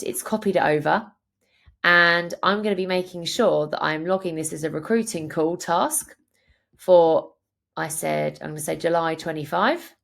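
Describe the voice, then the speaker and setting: A woman presenting a software tutorial, explaining each step in a clear, instructional way. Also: deep voice. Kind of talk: screen-share tutorial narration, instructional.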